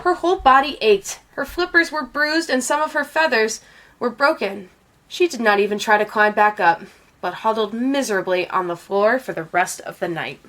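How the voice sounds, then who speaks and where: A woman reading a children's storybook aloud as an expressive narrator. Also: on the high side, normal speed. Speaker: storybook reader.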